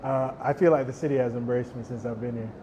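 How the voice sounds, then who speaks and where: A young man, a musician, giving relaxed, thoughtful interview answers and often restarting his words. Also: medium pitch, quick pace, muffled recording, background noise. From Jamaica. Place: outdoor stage.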